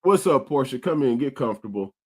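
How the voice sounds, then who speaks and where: A man's voice: mid-range voice, expressive, brisk, slightly rough. A man in his forties from Stockton, California, telling street stories and reading chat comments on a casual podcast. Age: 40s. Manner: streetwise, storytelling, repeats himself; reads live chat comments aloud. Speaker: podcast co-host.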